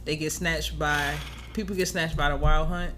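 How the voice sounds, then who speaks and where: A man's voice: on the high side, fairly even intonation, normal speed, crowd noise. A man co-hosting a podcast in casual chat, with a teasing, informal, slangy way of talking. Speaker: podcast co-host.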